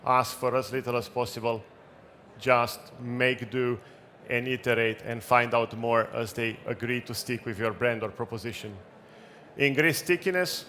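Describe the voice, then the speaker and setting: A man with a non-native English accent giving a brisk, practical conference talk, with light jokes. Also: medium pitch, fairly even intonation, bright, forceful tone. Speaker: conference speaker. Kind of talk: talk with audience questions. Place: event hall. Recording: echoey room, faint background noise.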